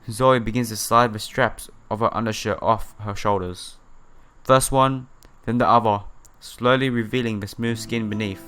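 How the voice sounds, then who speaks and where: A man with a bright, forceful voice reads a visual novel aloud for a gameplay video. He is theatrical and slips into casual asides and exclamations. Light guitar music plays behind him. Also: medium pitch, clear, resonant tone. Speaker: let's player.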